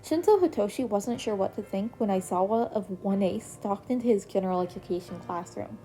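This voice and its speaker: Mellow-voiced woman reading a fanfic. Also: mid-range voice, a little fast, soft background music, low-quality recording. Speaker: podfic reader. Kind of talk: reading fan fiction aloud.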